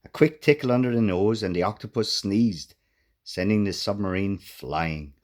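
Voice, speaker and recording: A man reading a children's storybook aloud as an expressive narrator. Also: fairly low pitch, moderate pace. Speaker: author reading own children's book.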